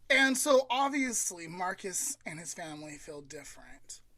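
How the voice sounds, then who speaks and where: A man's voice: sits high, big pitch swings, measured pace, bright, forceful tone, dry recording. A man with an American accent co-hosting a podcast, casually telling a story in a conversational, approximate way. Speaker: podcast co-host.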